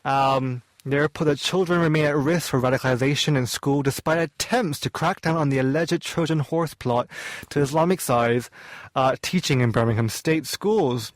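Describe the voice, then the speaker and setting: A man's voice: medium pitch, no echo. A young man hosting a student radio talk show, chatty and rambling, often correcting himself mid-sentence. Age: young adult. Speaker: host. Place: small student radio studio.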